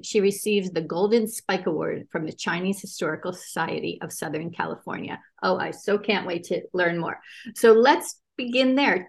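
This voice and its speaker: A woman's voice: medium pitch, creaky. A woman hosting an author interview, giving prepared introductions and questions in an effusive manner. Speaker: show host and producer.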